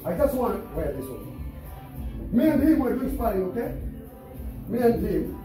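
A man's voice: high voice, sing-song, normal speed. Encouraging male boxing coach in echoey gym. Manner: encouraging, repeats phrases, laughs often. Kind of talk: coaching during a gym session. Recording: echoey gym from far off with music and crowd noise. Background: music and people in the gym.

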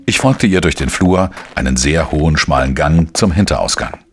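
An actor playing a dry, defiant investigative journalist in a radio play, with a sardonic, laconic manner. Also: male voice, very deep voice, animated, normal speed, slight background noise. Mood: brooding narration. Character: dry, defiant male investigative journalist, narrating his case. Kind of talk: radio play dialogue and first-person narration.

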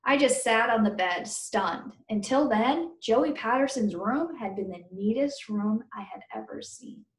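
A woman's voice: fairly high pitch, animated, measured pace, few pauses. A woman teacher reading a children's book aloud, stopping to question and explain things to her pupils. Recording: roomy indoor echo.

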